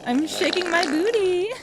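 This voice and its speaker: A woman's voice: very high-pitched, background noise, heavily acted. A woman playing a cheeky, giggly woman who is secretly an alien in human skin, in an audio drama.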